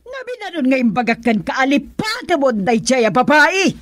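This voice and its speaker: A woman's voice: high voice, sing-song, moderate pace, no echo. A Filipino woman playing a stern, controlling middle-aged mother in a radio drama. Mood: angry, scolding.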